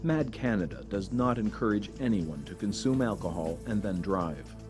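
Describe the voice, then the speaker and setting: A man giving measured, earnest scripted narration for a safety documentary. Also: fairly low pitch, animated. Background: background music.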